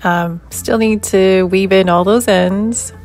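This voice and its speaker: A woman's voice: mid-range voice, fairly even intonation, takes it slowly, a little mellow, soft background music. A woman in her thirties narrating a casual craft vlog, informally thinking aloud as she goes. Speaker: crochet crafter and vlogger.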